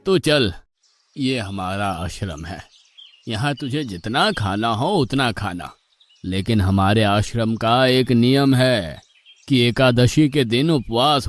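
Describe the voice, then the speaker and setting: Man playing a slow-speaking, patient ashram guru. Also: mid-range voice, lightly acted. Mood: welcoming. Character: patient, pious male ashram guru, gently exasperated. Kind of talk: animated moral story dialogue in Hindi.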